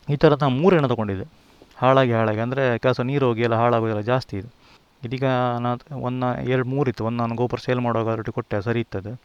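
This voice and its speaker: A man's voice: mid-range voice, smooth, dry recording, lo-fi sound. A man walks casually through his gear in a rambling, self-correcting, conversational way. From India. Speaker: motovlogger.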